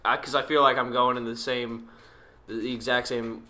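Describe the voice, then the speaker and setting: A man's voice: mid-range voice, level tone, moderate pace, bright, forceful tone. A young man co-hosting a podcast, joking in a dry way in casual chat. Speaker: podcast co-host.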